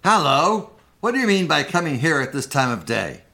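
A man's voice: fairly high pitch, very animated, normal speed, gravelly, sharp tone, full character voice. Man acting an emphatic, theatrical old miser, swooping delivery. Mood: mock stern. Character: repentant old miser, pleading, then giddily joyful. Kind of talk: live radio-play acting of a Victorian story.

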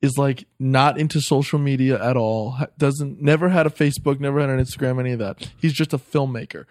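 A man's voice: mid-range voice, a little fast, darkish tone, no echo, studio quality. A man speaking as a comedian guest on a podcast, chatting casually with slangy enthusiasm. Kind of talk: casual chat.

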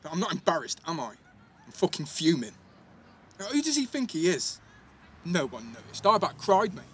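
A man's voice: fairly high pitch, huge pitch swings, normal speed, a bit bright, husky, full character voice. A young man playing a defensive, sweary teenage schoolboy in a naturalistic audio drama, with an East Midlands English accent and a blunt, slangy delivery. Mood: indignant. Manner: blunt, slangy, full of tag questions.

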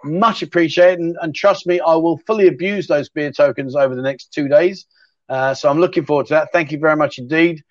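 Man, middle-aged, livestream host, fairly high voice, dry dull sound. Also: brisk, no echo. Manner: chatty, rambling, matey. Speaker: livestream chat show host. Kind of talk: casual livestream chat reading viewer questions.

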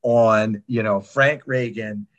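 A man's voice: fairly low pitch, fairly even intonation, slow pace, fairly bright tone, highs cut off. A man talking casually as a podcast guest, blunt and emphatic, often checking in with his listeners. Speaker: writer and podcast producer, guest. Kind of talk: casual interview talk, giving tips.

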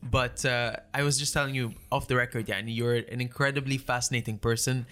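A male podcast host with a bright, forward voice at mid pitch. He frames long, rambling questions, doubling up words as he looks for the thread. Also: fairly even intonation, quick pace. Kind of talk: conversational interview.

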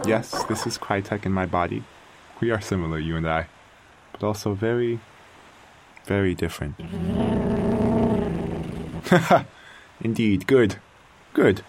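A man playing an aging artificial intelligence, a doting creator-parent musing with theatrical wonder in an audio drama monologue. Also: medium pitch. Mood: fond, delighted. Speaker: narrator and lead character. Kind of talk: dramatic first-person audio fiction monologue.

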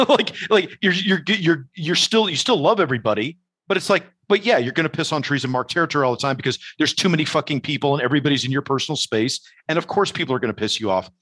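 A man from New York City hosting a casual conversation, telling stories with animated energy and swearing freely. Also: fairly high pitch, fast, few pauses, breathy edge, no echo. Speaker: podcast host.

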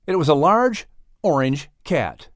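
Expressive male storybook narrator, animated pitch. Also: measured pace, dry recording, studio quality. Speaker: audiobook narrator.

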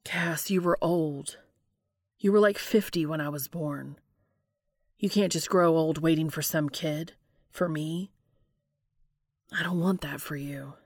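Female reader as devoted young man, stubborn and earnest, low gravelly fry, storybook romance drama. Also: fairly even intonation, takes it slowly, pauses often, no echo, studio quality, heavily acted. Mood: protesting, pained.

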